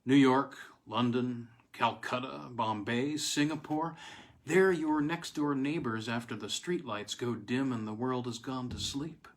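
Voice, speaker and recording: Guy reading an old spooky story, medium pitch, dramatic pauses, leans on key words. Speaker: reader of vintage weird fiction. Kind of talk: dramatic first-person story narration.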